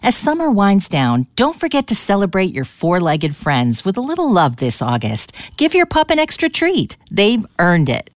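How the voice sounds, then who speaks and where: A woman's voice: medium pitch, huge pitch swings, moderate pace, few pauses, dry recording. A woman announcer reading sponsor messages and event notices in an upbeat scripted way. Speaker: radio station announcer.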